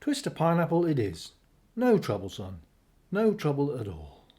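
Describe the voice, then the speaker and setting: A man's voice: a little high, huge pitch swings, normal speed, dark tone. A man playing a reassuring but secretive father in a radio play, paternal and coaxing.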